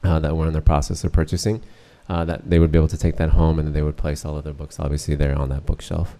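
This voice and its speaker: A middle-aged man with a monotone delivery giving a formal presentation to a school board, with frequent hesitations. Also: extremely low pitch, fast talker. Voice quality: monotone, many uh fillers.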